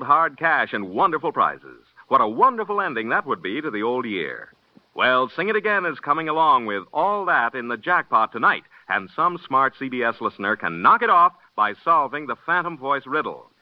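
A man's voice: fairly high pitch, no room sound. An American man announcing a promotion in the style of mid-century radio. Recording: muffled old radio broadcast.

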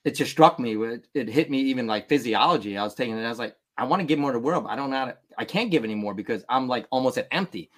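A man's voice: mid-range voice, lively intonation, very fast, hardly any pauses, a bit bright. A man in his thirties, born in Vietnam, talking as a podcast guest in an earnest, emphatic, motivational way. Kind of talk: candid interview talk.

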